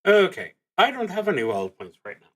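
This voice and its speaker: A man thinking aloud in a halting, deliberating way as he streams a solo tabletop game. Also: high-pitched, wildly swooping pitch.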